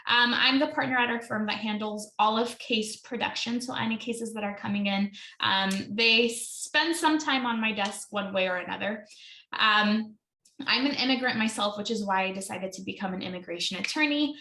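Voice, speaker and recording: Woman lawyer running a webinar, bright voice, lots of ums, echoey room. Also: medium pitch, moderate pace. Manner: chatty, many ums, self-correcting. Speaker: immigration attorney hosting a webinar. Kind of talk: webinar presentation.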